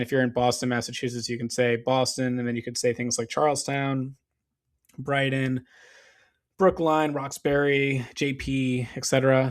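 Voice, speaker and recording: A man narrates a screen-share walkthrough in a loose, conversational way, stopping often for ums and restarts. His voice is starting to go hoarse, and he clears his throat now and then. He sits at a middling pitch for a man. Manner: casual, explanatory, frequent fillers and restarts. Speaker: SEO business owner.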